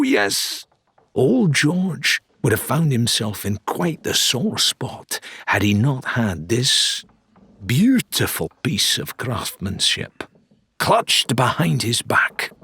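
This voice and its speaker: A man's voice: medium pitch, bright, forceful tone, slightly rough, dry recording, heavily acted. A man voicing a blustering, theatrical pirate weapons dealer in an audiobook, drawing out his salesman's patter with grand flourishes. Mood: dramatic, reverent. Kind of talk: dramatised audiobook character dialogue.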